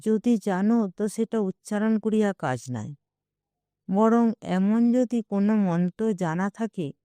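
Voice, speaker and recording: Woman reader as young husband, affectionate, audio story dialogue, slow, mellow, measured. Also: medium pitch, no echo, lightly acted. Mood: playful, fond. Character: young husband, affectionate, playful then troubled. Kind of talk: audio story character reading.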